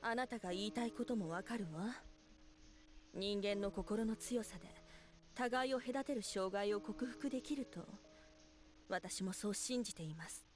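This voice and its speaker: A woman's voice: mid-range voice, quick pace, faint music behind, close to an everyday voice. Woman acting a solemn, resigned spirit woman. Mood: grave, doubting. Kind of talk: Japanese visual novel voice acting.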